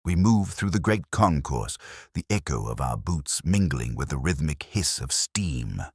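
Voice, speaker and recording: A man narrating a mystery story in a measured, solemn, dramatic literary manner. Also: rumbling low, sing-song, a little mellow, slightly rough, no room sound, clean studio sound. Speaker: audiobook narrator, telling the story as Watson.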